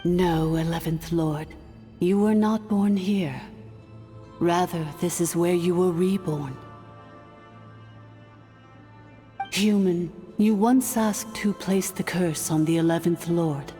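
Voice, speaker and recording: An ancient machine ruler speaks low and mellow in a long, cavernous reverb. The manner is formal, imperious and calm, solemn game dialogue with music underneath. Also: female voice. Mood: commanding, composed. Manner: formal, measured, stately. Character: calm, imperious female ancient dragonkind artificial intelligence sovereign.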